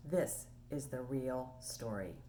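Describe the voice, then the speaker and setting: A woman reading a children's storybook as an indignant male wolf telling his side in a conversational way with dramatic pauses. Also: extremely low pitch, mellow, slight background noise, voice pushed down. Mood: self-justifying. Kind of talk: animated children's storybook read-aloud.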